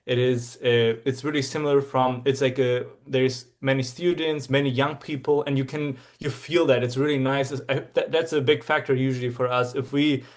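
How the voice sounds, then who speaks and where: A man's voice: medium pitch, fairly even intonation, normal speed, hardly any pauses. Young man from Berlin, chatty guest. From Germany, Berlin. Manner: enthusiastic, many restarts and fillers. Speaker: interview guest, traveler. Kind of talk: casual interview answers.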